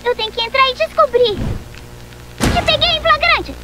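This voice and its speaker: A woman with a Brazilian accent voicing an excitable, curious young female unicorn in a cartoon dub, easily frightened. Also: very high-pitched, normal speed, rough recording. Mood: suspicious. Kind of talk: animated cartoon dubbing. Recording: loud music under the voice.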